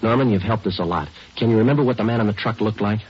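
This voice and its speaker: An actor playing a methodical, matter-of-fact police sergeant who questions and narrates tersely in a vintage radio procedural. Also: male voice, lowish voice, very fast, slightly rough. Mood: patient, businesslike. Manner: deadpan, terse, businesslike. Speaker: lead detective and narrator. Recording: muffled vintage radio recording with music.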